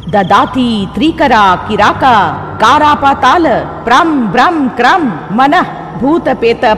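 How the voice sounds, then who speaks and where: High-pitched woman as dramatic sorceress storyteller. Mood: incantatory. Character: distressed young married sorceress recounting her ordeal. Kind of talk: dramatic first-person cartoon narration. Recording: long reverb under loud music.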